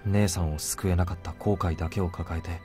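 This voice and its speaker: Deep, rapid-fire brooding young man played by an actor. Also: fairly even intonation, warm tone, soft background music, natural voice. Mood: troubled, bitter. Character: brooding young man, troubled and self-doubting. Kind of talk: Japanese visual novel voice acting.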